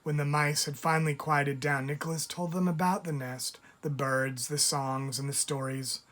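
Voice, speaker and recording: A man, a teacher, reading a picture book aloud in an expressive storytelling style, stressing key words. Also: a little high, moderate pace. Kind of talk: expressive read-aloud narration for children. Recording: music under the voice. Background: music.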